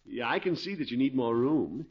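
An actor playing a blustery, scheming middle-aged uncle in a 1950s radio situation comedy, exclamatory and chuckling. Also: male voice, medium pitch, expressive, normal speed, slightly rough. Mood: coaxing, hearty. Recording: muffled old 1950s radio recording.

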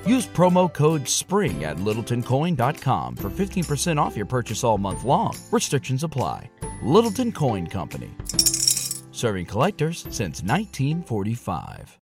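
Lively male ad voice-over. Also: mid-range voice, moderate pace. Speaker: commercial voice-over. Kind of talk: scripted upbeat advertising read. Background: faint music.

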